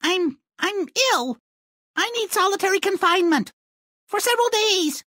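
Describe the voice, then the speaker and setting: A woman's voice: very high-pitched, lively intonation, normal speed, pushed and bright, no echo, studio quality, heavily acted. A woman voicing a spoiled, whiny, rather dim young princess in a fairy-tale video game, petulant and melodramatic. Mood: put out.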